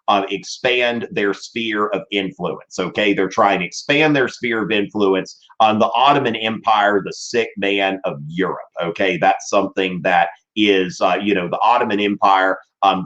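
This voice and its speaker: Brief: man, 30s, strained voice, rambling history teacher on a livestream, low voice, muffled webcam mic. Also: fairly bright tone. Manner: rambling, filler-heavy, checks in with "okay?". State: voice strained from streaming. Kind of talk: livestreamed online class. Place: small room at home. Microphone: webcam at desk.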